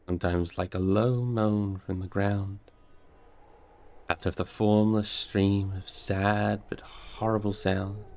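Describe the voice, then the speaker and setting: A man with a creaky voice, hosting a livestream where he chats casually and reads a book aloud expressively. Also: fairly low pitch, a little mellow. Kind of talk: casual livestream chat and expressive storybook narration.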